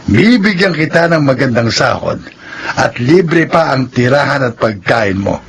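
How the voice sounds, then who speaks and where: An actor playing a generous but stern resort owner in a radio drama, with an authoritative manner. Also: male voice, medium pitch, very animated, measured pace, vocal fry. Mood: genial, persuasive. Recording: faint background noise.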